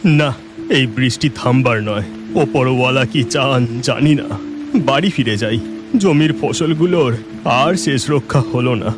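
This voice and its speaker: A man plays a humble village farmer in a cartoon. His voice is mid-pitched, and he talks in plain, exclamatory, dramatic phrases, like a pious man given to lamenting. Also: measured pace, music in the background, natural voice. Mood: resigned. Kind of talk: children's cartoon voice acting.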